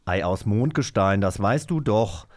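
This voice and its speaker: Man reading a teasing, animated child friend. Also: fairly low pitch, a little slow, pitched below the performer's own voice. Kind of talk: playful dialect cold reading.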